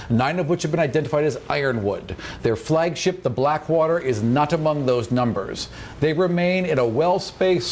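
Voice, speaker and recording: A man's voice: fairly high pitch, darkish tone, a little hiss or hum. A man narrating a tabletop fantasy game as its game master.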